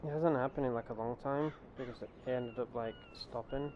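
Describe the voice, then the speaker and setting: A man's voice: medium pitch, brisk, lo-fi sound, a little hiss or hum. A young man hosting a live game stream, chatting casually and speaking straight to his viewers. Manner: laid-back, talks straight to his viewers. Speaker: streamer host.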